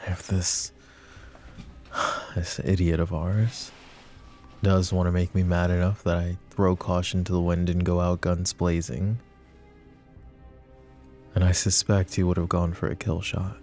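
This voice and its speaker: Man playing a very deep, brooding mafia boss. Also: level tone, measured pace, long pauses, darkish tone, faint music behind. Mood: tense, brooding.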